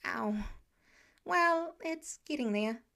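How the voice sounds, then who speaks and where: A woman's voice: high voice, animated, slow, creaky, sharp tone, full character voice. A woman voicing an earnest, awkward teenage boy superhero in an audiobook-style story, polite and hesitant, stumbling over words. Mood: sheepish.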